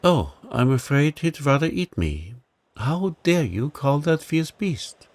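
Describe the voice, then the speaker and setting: A man's voice: mid-range voice, sing-song, measured pace, creaky, darkish tone, pitched above the performer's own voice, heavily acted. A man voicing a polite, timid little girl in a storybook reading, speaking earnestly. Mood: surprised.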